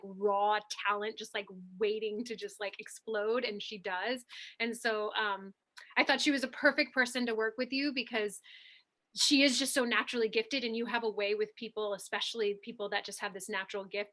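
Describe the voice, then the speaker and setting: A woman's voice: mid-range voice, sharp tone. A woman working as a guest coach, chatting casually and enthusiastically, with lots of "like". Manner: enthusiastic, informal, frequent "like". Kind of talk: casual chat.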